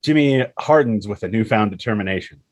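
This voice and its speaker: A man with a low, raspy voice reads stage directions aloud. It is scripted screenplay narration, recorded dry and close. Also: lively intonation, brisk, dry recording.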